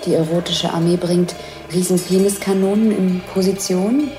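A woman's voice: on the low side, fairly even intonation, normal speed. A woman informally describing a scene as it unfolds, hesitant and searching for words. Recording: music behind the voice.

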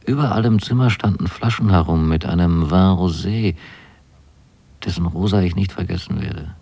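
An actor playing an earnest, rapturous son in a radio play, narrating a letter to his mother in measured literary phrases. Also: sits low, creaky, mellow, slightly rough, exaggerated voice. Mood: dreamy, contented.

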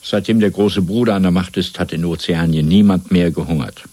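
Cold clinical torturer in an old radio drama, low rough husky voice, very deliberate. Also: male voice, warm tone, no echo. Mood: accusing, cold. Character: menacing, controlled male interrogator and torturer of a totalitarian state. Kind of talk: 1970s German radio play acting.

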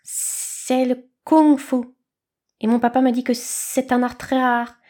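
A woman voicing an earnest, naive little male snake in a storybook, slow and hissing. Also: high voice, level tone, mellow, voice pushed up. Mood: prim.

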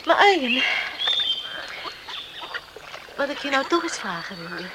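Radio play: spirited young rural woman, fairly high voice, very expressive. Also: brisk, background noise. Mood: curious, wistful. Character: spirited young countrywoman recovering from illness. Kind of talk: Dutch radio drama acting.

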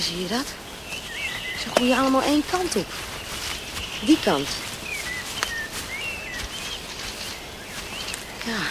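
An actress playing a dreamy, romantic young woman in love in a radio drama, wistful and often hushed and breathy. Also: high voice. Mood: excited, eager. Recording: clear outdoor nature noise.

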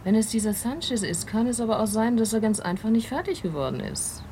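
An actress playing a matter-of-fact, wry police officer in a German radio drama, dry and questioning. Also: female voice, fairly high pitch, animated, fairly quick, dark tone, slight background noise, close to an everyday voice.

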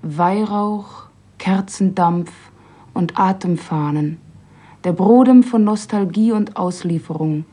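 A woman's voice: medium pitch, takes it slowly, mellow, husky. A woman reading poetic, scripted literary prose. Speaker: reader of literary texts.

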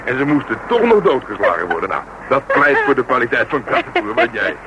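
An actor playing a gruff, sardonic police detective in a radio drama, grumbling drily. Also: male voice, medium pitch, huge pitch swings, a little fast. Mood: dry, wry. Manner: dry, grumbling, wry. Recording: noisy old radio drama recording.

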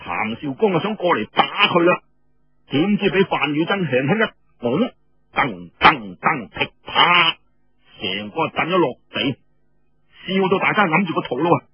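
A man with a Cantonese accent telling a story in a colloquial, animated, conversational way. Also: fairly high pitch, measured pace, slightly rough, no echo, muffled recording, faint music behind. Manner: animated, conversational, ends phrases with drawn particles.

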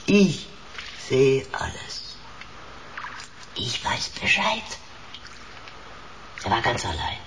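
An actor playing a nosy, knowing neighbour who gossips drily in short folksy sentences, in a German radio drama. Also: male voice, medium pitch, wildly swooping pitch, extremely slow, pauses often, a bit bright, a little hiss or hum, exaggerated voice. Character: nosy, talkative neighbour who sees everything, drily amused.

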